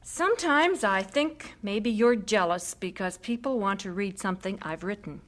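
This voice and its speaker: An actress playing a spirited missionary wife and harried young mother, expressive in a radio drama. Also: mid-range voice, normal speed, close to an everyday voice. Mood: wry, brighter. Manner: expressive, scolding then coaxing.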